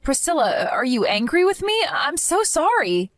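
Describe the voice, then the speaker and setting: A woman's voice: mid-range voice, moderate pace, natural voice. A woman voicing a timid young daughter-in-law who speaks in a meek, apologetic way. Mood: anxious.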